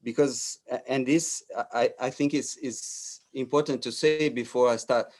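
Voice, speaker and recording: Slow, halting Brazilian man lecturing. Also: mid-range voice, fairly even intonation, a little mellow. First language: Portuguese. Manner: hesitant, many fillers and restarts. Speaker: architect giving a talk. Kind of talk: lecture presentation.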